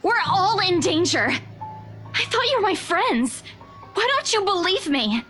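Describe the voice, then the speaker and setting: A woman's voice: very high-pitched, sing-song, measured pace, a bit bright, faint music behind, exaggerated voice. A frightened, insistent young woman. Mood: pleading. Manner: pleading, defensive.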